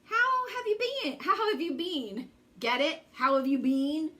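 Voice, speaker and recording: A woman reading a children's story aloud with theatrical, deliberate phrasing and drawn-out emphasis. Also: high-pitched, lively intonation, sharp tone. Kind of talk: expressive bedtime story reading.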